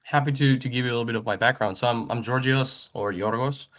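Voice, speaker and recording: A man's voice: medium pitch, fast talker, a bit bright, dry recording. A man from Greece, around forty, a startup co-founder telling his story in an interview, friendly and repeating his words as he goes. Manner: friendly, repeats words, laughs between points. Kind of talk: interview conversation. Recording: dry muffled remote call audio.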